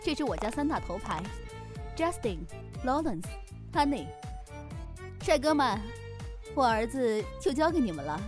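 A woman's voice: fairly high pitch, sing-song, a little slow, pauses often. A woman playing a bossy, scheming mother who runs a club, in an audio drama. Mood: gleefully commanding. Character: bossy, scheming mother running a club, pushing her son. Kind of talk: Chinese fan audio drama acting. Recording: music bed behind the voice.

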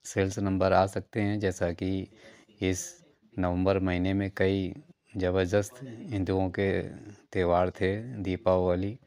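Low, even-toned male market newsreader. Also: takes it slowly, smooth, no echo. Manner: addresses viewers as friends, lists numbered reasons. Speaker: stock market news vlogger. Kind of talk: scripted market news narration. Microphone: voice-over on slides.

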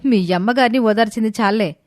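A woman playing a sharp-tongued, spiteful daughter-in-law who speaks with sarcasm and scorn. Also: a little high, brisk, no room sound, clean studio sound, close to an everyday voice. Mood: scornful.

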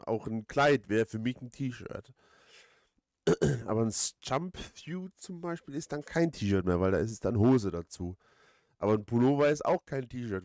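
A man giving casual gaming commentary, halting and repeating words as he rambles. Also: on the low side, darkish tone, no room sound, clean studio sound. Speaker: let's play host.

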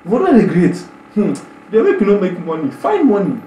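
A young man in his twenties with a Nigerian Pidgin accent, hosting an animated reaction vlog full of exclamations and stammered repeats. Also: high voice, normal speed, reverberant, a little hiss or hum, poor audio. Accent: Nigerian Pidgin English. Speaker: YouTube reaction host. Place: small bedroom indoors.

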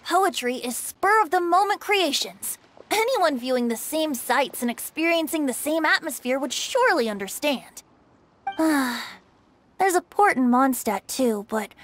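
A woman's voice: high-pitched, expressive, a little slow, slight background noise. A woman voicing a youthful, carefree male bard in a video game, with a light, teasing, coaxing way of talking. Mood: cheerful.

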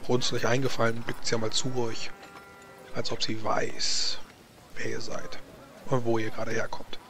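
Male game master, mid-pitched, halting deliberate narration, tabletop role-play, faint background noise. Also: little pitch movement.

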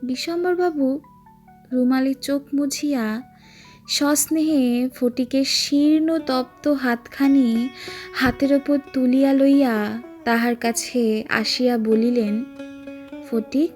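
A woman's voice: high voice, fairly even intonation, slow, a little mellow, soft background music. A woman narrating a literary story in a solemn, emotive, dramatic manner.

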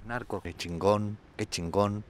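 A man's voice: fairly low pitch, steady tone, unhurried. A middle-aged man with a Mexican-tinged Spanish accent, rambling earnestly off topic in an outdoor street interview. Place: outdoor town square, seated on a bench. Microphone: handheld furry mic held close.

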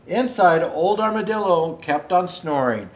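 An older man reading a children's picture book aloud as a storyteller, in an unhurried, expressive way. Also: high-pitched. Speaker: storyteller dressed as Santa Claus. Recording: roomy indoor echo.

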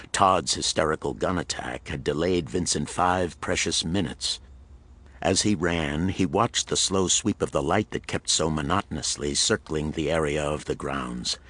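A man's voice: a bass voice, fairly bright tone. A man narrating a pulp thriller audiobook in a dramatic, suspenseful storytelling manner.

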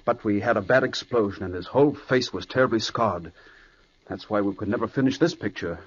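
An actor playing a nervous, hesitant middle-aged film director in a 1940s radio drama. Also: male voice, lowish voice, a little fast, a little hiss or hum, lightly acted. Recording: muffled 1940s radio broadcast.

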